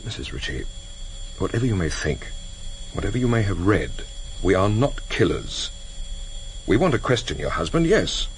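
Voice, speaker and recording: Grave, low-voiced British security officer. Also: male voice, very animated, rough recording. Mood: calm, insistent. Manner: measured, formal, quiet. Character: grave, courteous male British security service officer, measured and insistent. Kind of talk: radio drama acting. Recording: vintage radio recording, faint background noise.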